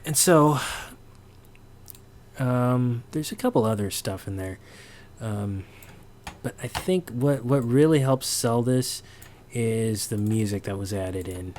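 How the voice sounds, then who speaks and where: A man giving an informal sound design tutorial, halting as he thinks aloud. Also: mid-range voice, lively intonation, a little mellow, slight background noise.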